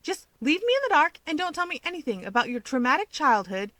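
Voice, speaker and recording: Cocky winged young hero played by a female reader, higher pitched, emphatic, taunting, storybook dialogue, dry sound. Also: sing-song, fast talker, pushed and bright, dry recording, full character voice. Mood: exasperated, taunting.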